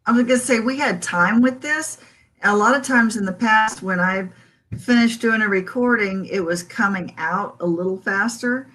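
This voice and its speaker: A woman musician talking through her recording process in a casual interview. Her voice is bright and forward, and she laughs readily and jokes along with the others. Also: medium pitch. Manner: laughs often, quick to joke. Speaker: musician guest.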